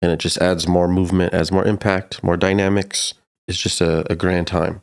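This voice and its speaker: A man giving a casual, slangy music production tutorial in a laid-back but enthusiastic way. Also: deep voice.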